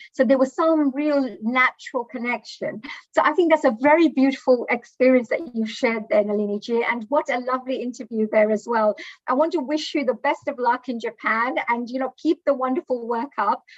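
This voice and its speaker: A woman's voice: on the high side, dry recording, dull audio. A woman hosting a spiritual livestream interview, effusive and chatty with frequent fillers. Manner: warm, effusive, with many fillers. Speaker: host.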